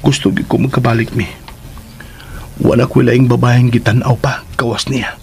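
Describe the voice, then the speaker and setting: A man's voice: mid-range voice, normal speed, slight background noise, natural voice. An actor with a Filipino Cebuano accent playing a lovelorn, cheerful young man who chats expressively in a radio drama. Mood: playful, musing. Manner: expressive, chatty, talking to himself.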